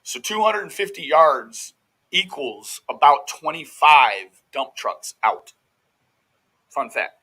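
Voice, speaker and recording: Brash, very bright-voiced man reacting casually. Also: fairly high pitch, sing-song, slow, low-quality recording. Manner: brash, profane, folksy slang. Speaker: host of a true crime commentary show.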